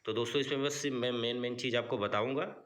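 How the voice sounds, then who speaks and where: An Indian man with a very bright, forceful voice at an ordinary male pitch guides listeners through written instructions. He explains informally, checks in again and again, and slows haltingly whenever he reads out English. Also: steady tone, a little hiss or hum. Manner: repetitive check-ins, halting when reading English. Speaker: online job-form guide host. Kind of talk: informal explainer, reading notices aloud and explaining them.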